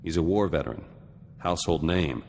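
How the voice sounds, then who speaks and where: An actor playing a gruff, calculating politician in a video game, speaking in clipped phrases with a gravelly voice. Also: male voice, sits low, lively intonation, a little fast, a little mellow. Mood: terse, grave. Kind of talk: video game cutscene acting. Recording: long reverb, faint noise.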